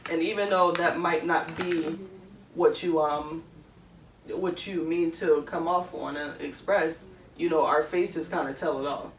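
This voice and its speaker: A woman's voice: low voice, fairly even intonation, a little slow. A woman, co-hosting a podcast in casual chat, rambling with many fillers. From Philadelphia. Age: 20s. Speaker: podcast co-host. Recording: echoey room, mic far off, faint noise.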